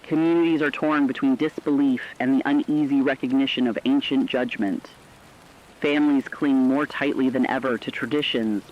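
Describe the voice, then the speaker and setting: A man's voice: mid-range voice, dull audio, close to an everyday voice. A man playing a radio anchor who solemnly addresses listeners in formal, portentous scripted horror narration. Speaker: radio host.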